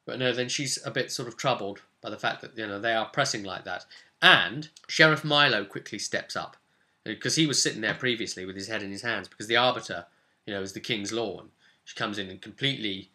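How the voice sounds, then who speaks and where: A man's voice: on the low side, a little fast, pushed and bright. A man informally retelling a tabletop role-playing session as its game master, slipping characters' remarks into the story. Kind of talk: informal storytelling recap to camera.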